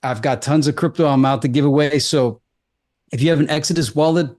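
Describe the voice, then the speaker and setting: A man hosting a live stream, chatting casually in a loose, halting, conversational way. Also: a little high, a little mellow.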